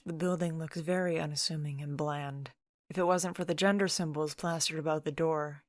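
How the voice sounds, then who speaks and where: Female story narrator, deep register, scripted audiobook-style read, dry studio sound. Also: no room sound.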